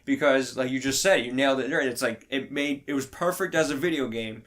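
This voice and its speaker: Mid-pitched man in casual podcast chat. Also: brisk, a bit bright. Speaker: podcast panelist.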